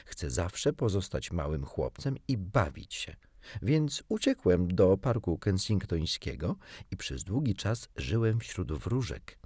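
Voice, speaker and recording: A man's voice: deep voice, big pitch swings, takes it slowly, darkish tone, slightly rough, no room sound, heavily acted. A cocky storybook boy, acted out by a man reader, boastful and childlike. His delivery swings widely and every word is cleanly recorded, close and dry. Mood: earnest. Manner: gleeful crowing, offhand.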